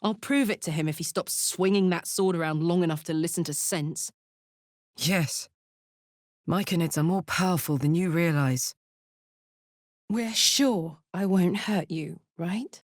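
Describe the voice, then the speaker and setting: A woman voicing a brash, warm-hearted fantasy warrior in a video game, blunt, informal and expressive. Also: lowish voice, unhurried, no echo, clean studio sound, lightly acted. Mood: earnest. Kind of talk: video game character voice acting.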